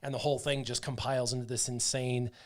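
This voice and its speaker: A man streaming a mobile game, breaking down his team's abilities in a loose, excitable way. He talks in a mid-range voice and leans on fillers as he thinks aloud. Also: mid-range voice, fast. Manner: chatty, excitable, full of fillers like "um" and "like". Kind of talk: casual livestream commentary.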